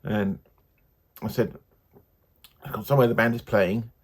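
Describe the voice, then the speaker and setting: A man giving a casual, unscripted book review in a hesitant, halting, rambling way. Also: lowish voice, steady tone, husky.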